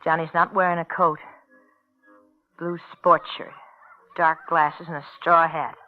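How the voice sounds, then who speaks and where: Guarded, weary female informant, fairly low-key crime radio, muffled vintage sound. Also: flat delivery, unhurried, slight background noise. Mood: furtive. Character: weary, guarded woman informant of 1930s gangland. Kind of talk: 1950s radio drama acting. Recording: dull old radio recording.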